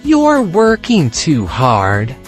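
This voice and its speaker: A woman's voice: sits low, huge pitch swings, extremely slow, faint music behind, pitched below the performer's own voice, heavily acted. A woman voicing a carefree, drowsy male grasshopper in a children's storybook, with a languid, easygoing drawl. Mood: lazy, coaxing. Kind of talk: animated children's storybook read-aloud.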